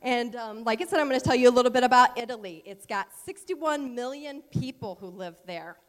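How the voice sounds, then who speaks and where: A woman's voice: fairly high pitch, a little fast. Chatty American woman in a reverberant hall. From United States. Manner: chatty, rambling, many fillers and asides. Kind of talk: informal educational talk. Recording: long reverb of a large hall.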